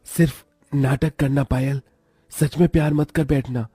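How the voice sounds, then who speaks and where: Cartoon lover boy, male actor: young, conniving, romantic, husky dark voice, wheedling delivery. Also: medium pitch, steady tone, measured pace, no echo, clean studio sound, faint music behind. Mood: besotted, warning. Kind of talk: Hindi moral-story cartoon acting.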